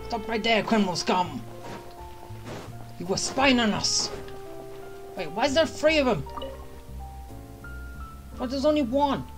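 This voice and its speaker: Slow-paced woman commenting on gameplay. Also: fairly high pitch, animated. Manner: playful, reacting aloud. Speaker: game streamer. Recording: game music underneath.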